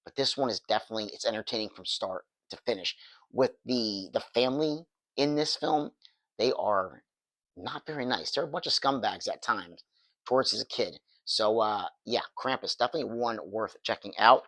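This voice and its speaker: A man with a hoarse, worn voice after being sick, chatting casually and enthusiastically with lots of restarts. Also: fairly low pitch, moderate pace, fairly bright tone, dry recording. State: losing his voice, recovering from illness. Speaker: movie reviewer. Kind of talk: casual chat to camera.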